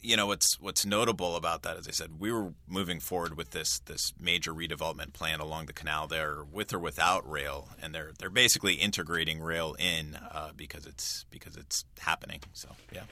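A man's voice: very deep voice, steady tone, a little fast, few pauses, pushed and bright. A man speaking as a news reporter, explaining a story informally in a radio conversation.

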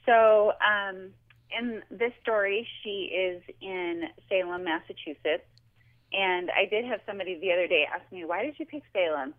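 A woman's voice: medium pitch, moderate pace. Chatty woman on a tinny phone line. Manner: informal, chatty. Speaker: author guest. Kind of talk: casual phone interview. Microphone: telephone. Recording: tinny, narrow telephone line.

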